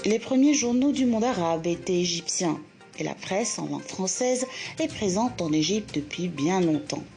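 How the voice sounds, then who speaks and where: A woman's voice: fairly low pitch, expressive, moderate pace, over music. A woman reading scripted narration for a TV documentary report.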